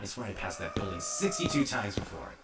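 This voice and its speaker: A man voicing a grumpy, sarcastic, slow-witted sidekick in a comedy cartoon, complaining and exclamatory. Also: lowish voice, big pitch swings, reverberant, over loud music. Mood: bored complaint.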